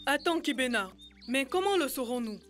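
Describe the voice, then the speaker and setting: A woman's voice: high-pitched, expressive, normal speed, a bit bright. A woman voicing a questioning, observant young child in a cartoon dub. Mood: curious. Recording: prominent music behind voice.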